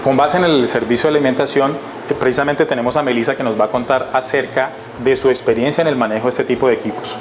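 A man in his fifties from Colombia, a university facilities manager giving a measured testimonial with some restarts, on a lapel mic in a large kitchen. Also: medium pitch, fairly even intonation, music in the background. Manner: measured, explanatory, with hesitations and restarts. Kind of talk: testimonial interview. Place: large industrial kitchen, indoors.

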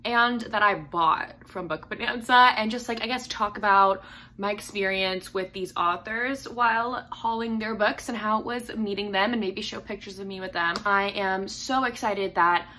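A hoarse young woman in her twenties, chatting casually to camera about books at home, with lots of fillers and likes. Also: mid-range voice, moderate pace, bright, forceful tone, slight background noise. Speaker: book vlogger.

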